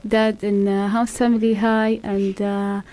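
A young woman in her twenties from Saudi Arabia, speaking English as a second language with an Arabic accent as a radio guest, halting and searching for words. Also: mid-range voice, level tone, darkish tone. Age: 20s. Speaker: guest, exchange student. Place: radio studio.

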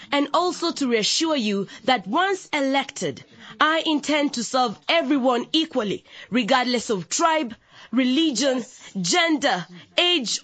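A woman's voice: high-pitched, fairly bright tone, husky, exaggerated voice. An actress playing a young, idealistic woman candidate in a radio drama, speaking in an emphatic, declamatory way. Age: young adult. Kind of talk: radio drama campaign speech.

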